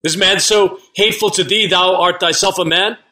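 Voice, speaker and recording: An actor playing a warlike captain in a Shakespearean audio drama, speaking in a formal, urgent, commanding manner. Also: male voice, sits high, fairly even intonation, moderate pace, sharp tone. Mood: urgent, concerned. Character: warlike soldier captain, direct and questioning.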